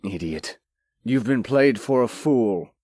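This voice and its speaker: A man's voice: mid-range voice, expressive, slow pace, a little mellow, dry recording. A man playing a jaded, condescending nobleman who speaks in a curt, dry, analytical way in a dramatized fantasy audiobook. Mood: irritated, dismissive.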